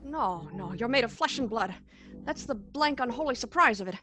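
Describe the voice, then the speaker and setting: A woman playing a smitten, tipsy young male writer in a radio play, ardent and halting. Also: fairly high pitch, lively intonation, bright, forceful tone.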